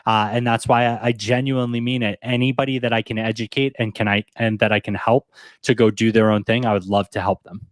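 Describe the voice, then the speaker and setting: A man's voice: lowish voice, steady tone, a little fast, dry recording, clean studio sound. A man speaking as an expert guest, giving informal, plainly explained answers with examples in an interview. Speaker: podcast industry expert guest.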